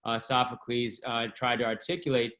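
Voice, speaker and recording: A man making a measured, somewhat hesitant point in a discussion over a video call. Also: medium pitch, level tone, bright, forceful tone. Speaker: discussion participant. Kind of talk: discussion comment.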